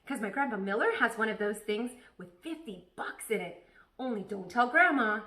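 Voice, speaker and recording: A woman voicing an excitable, nosy, stubborn kindergarten girl from a children's storybook, blurting things out emphatically. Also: on the high side, normal speed. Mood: eager, nosy. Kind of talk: children's storybook read-aloud. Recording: echoey room.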